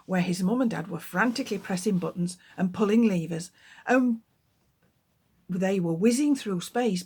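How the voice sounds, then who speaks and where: A woman, a children's author, reading her book aloud with lively, expressive storytelling. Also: on the low side.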